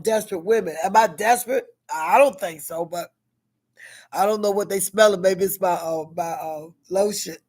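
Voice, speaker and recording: A woman in her sixties with a deep, heavy voice, chatting casually in a vlog, rambling with many fillers and questions to herself. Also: darkish tone. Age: 60s. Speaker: vlogger. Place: at school.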